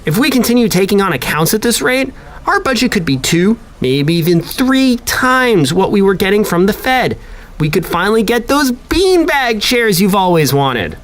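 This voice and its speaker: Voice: medium pitch, sing-song, moderate pace, lightly acted. An actor playing a breezy, cheerfully dismissive office manager in an audio drama.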